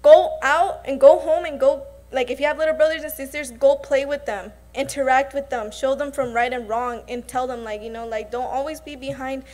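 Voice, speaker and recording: A woman's voice: high-pitched, steady tone, normal speed, hardly any pauses, darkish tone. A young woman, a student presenter, giving an earnest prepared speech.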